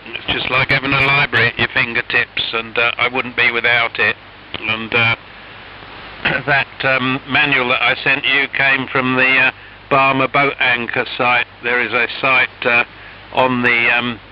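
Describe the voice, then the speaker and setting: A man chatting casually as an amateur radio operator over shortwave, rambling and hesitant in an amiable way. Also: mid-range voice. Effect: shortwave radio transmission. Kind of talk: casual ham radio chat. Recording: noisy, hissy, muffled shortwave radio signal. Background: radio hiss and static.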